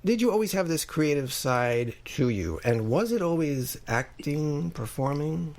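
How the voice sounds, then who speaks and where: A man's voice: on the high side, lively intonation, measured pace, darkish tone. A man of about fifty from Long Island, New York, hosting a podcast with introductions and casual interview questions.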